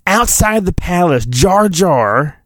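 A man's voice: high voice, big pitch swings, slow pace, fairly bright tone, no room sound. A man hosting a podcast, reading a storybook in a mock-dramatic, playful way with casual asides.

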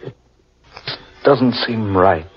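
An actor playing a solemn, brooding man lost in an eerie forest in a 1940s radio horror drama. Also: on the low side. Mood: grave. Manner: solemn, ominous, deliberate. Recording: dull vintage radio recording.

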